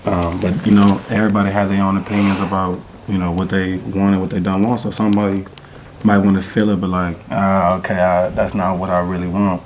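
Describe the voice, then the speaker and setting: A man's voice: low voice, flat delivery, fairly quick, darkish tone, a little hiss or hum, low-quality recording. A man in his twenties, a podcast panel guest who thinks aloud and hesitates with fillers. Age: 20s. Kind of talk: casual panel discussion.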